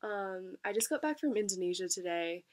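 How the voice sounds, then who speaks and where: A woman's voice: mid-range voice, lively intonation, moderate pace, a little mellow, a little hiss or hum. A young woman vlogger, congested from a cold, chatting bubbly and casually while unboxing packages. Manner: chatty, bubbly, lots of fillers and likes.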